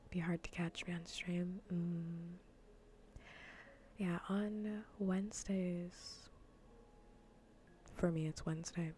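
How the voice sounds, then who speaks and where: A woman streamer chatting casually in a soft, breathy whisper and murmur during an ASMR gaming livestream. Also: lowish voice, little pitch movement, slow, pauses often, slight background noise.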